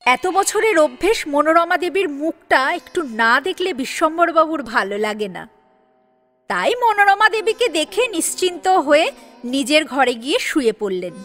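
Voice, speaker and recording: A woman with a distinctly high voice narrates a serial audio story. Her delivery is that of dramatic storytelling, and soft sitar music plays behind her. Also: very animated, normal speed. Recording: music under the voice. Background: sitar instrumental music.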